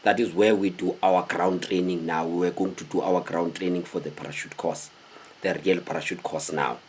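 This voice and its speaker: A South African man in his thirties tells stories casually to the camera in an animated, conversational way, often putting questions to his viewers. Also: sits low, moderate pace, slightly rough. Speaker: host telling stories of his military service. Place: small indoor room with cloth backdrop.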